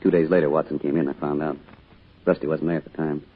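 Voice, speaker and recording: A man's voice: a bass voice, little pitch movement, quick pace, breathy edge. An actor playing a fond, easygoing middle-aged small-town father in an old-time radio drama, speaking with an American accent in a plain, folksy way. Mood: wistful, fond. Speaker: narrator and father character. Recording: dull, noisy old radio recording.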